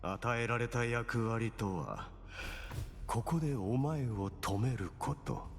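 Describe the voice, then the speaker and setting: Game villain played by a man: smug, theatrical cleric with mid-pitched, very animated delivery, composed mockery, big hall echo. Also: normal speed, lightly acted. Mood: calm menace. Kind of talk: Japanese game voice acting. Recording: long hall reverb, game music behind. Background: game music.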